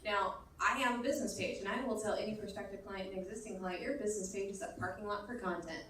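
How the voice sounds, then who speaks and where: A woman's voice: medium pitch, steady tone, quick pace, a bit bright, slight background noise. A woman on a business panel giving advice in an emphatic, chatty way, checking in with the audience often. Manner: emphatic, chatty, keeps saying okay. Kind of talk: panel discussion answer. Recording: echoey hall, mic far off.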